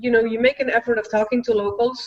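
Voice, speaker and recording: Brief: woman guest, fairly high voice, quick chatty delivery, dull lo-fi line. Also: flat delivery. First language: Dutch. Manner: quick, chatty, many "you know" fillers. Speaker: guest, author and teacher. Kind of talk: casual interview conversation. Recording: dull lo-fi sound.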